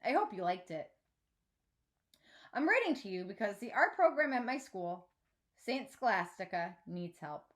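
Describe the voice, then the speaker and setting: A woman narrating a story aloud in a measured, expressive read-aloud style, like a teacher reading to pupils. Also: mid-range voice.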